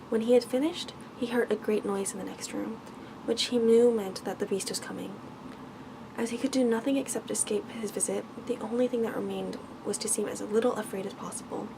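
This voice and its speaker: A woman reading a bedtime story aloud in ASMR style as a storyteller. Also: mid-range voice. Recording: clearly noisy background ambience.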